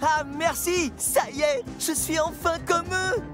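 An actor voicing an earnest, excitable, childlike male electric engine in a children's cartoon dub. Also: very high voice, takes it slowly, over music. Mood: delighted.

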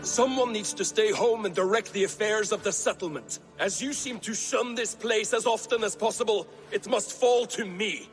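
An actor playing a bitter middle-aged Viking warrior in a video game, sarcastic and contemptuous. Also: male voice, high voice, normal speed, long reverb tail, faint music behind, natural voice. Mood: scornful. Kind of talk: video game cutscene acting.

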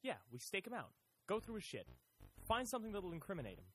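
A man's voice: sits high, sing-song, fast talker, soft background music, low-quality recording. A young man voicing a driven, impatient friend who talks urgently and gets exasperated. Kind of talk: audio drama acting.

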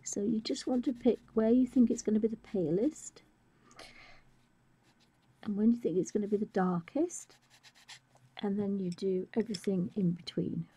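A woman talks through her colouring step by step in a soft, musing way, her words often fading to a whisper. Her voice is mellow and husky, a little croaky from a chest infection. She sounds like an art tutor streaming a live lesson. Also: medium pitch, moderate pace, long pauses.

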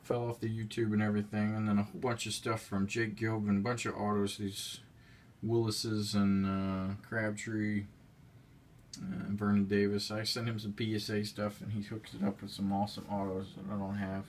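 A man casually narrating a tour of his collectibles room at home, rambling with frequent fillers, recorded on a handheld phone. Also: fairly low pitch. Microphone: handheld phone camera.

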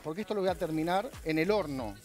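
A man's voice: fairly high pitch, animated, fast talker, a little mellow, faint music behind. Argentine chef explaining while he cooks. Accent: Argentine Spanish. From La Plata, Argentina. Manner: explains while cooking, hesitant restarts. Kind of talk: cooking demonstration chat. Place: TV studio kitchen.

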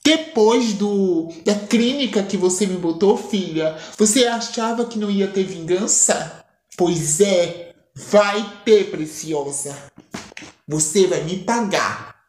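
Woman playing a slow, gloating vengeful mother. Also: mid-range voice, darkish tone, lightly acted. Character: scheming vengeful mother, mocking. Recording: echoey room with faint music.

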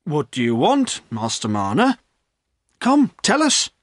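A man's voice: fairly high pitch, huge pitch swings, slow, dry recording, studio quality, pitched above the performer's own voice, heavily acted. A man voicing a village innkeeper in a storybook, firm and placating as he keeps the peace.

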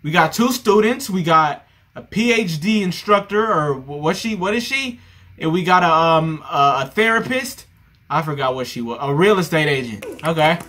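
Bright-voiced young man reacting. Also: fairly high pitch, expressive, normal speed. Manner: talkative, joking, repeats himself. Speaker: reaction streamer. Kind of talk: casual reaction commentary.

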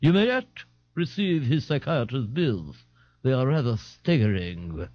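A man voicing a pompous fake gentleman with a posh London accent, haughty and carefully enunciated, in an audiobook. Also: medium pitch, very animated, a little slow, lo-fi sound. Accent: posh London English. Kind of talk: audiobook character voice.

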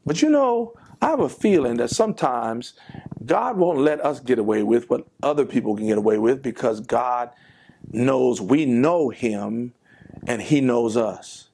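A man's voice: mid-range voice, expressive, a little slow, gravelly, a little mellow, a little hiss or hum. An older man, a pastor, giving an earnest devotional sermon from his office desk, speaking emphatically and asking rhetorical questions, recorded on a lapel mic.

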